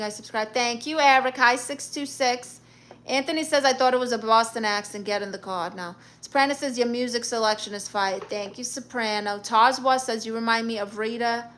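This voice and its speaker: A woman's voice: fairly high pitch, fairly bright tone. A woman with a New York accent hosting a live stream, reading viewer comments aloud in a brash, sassy, joking way. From Brooklyn. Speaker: livestream host.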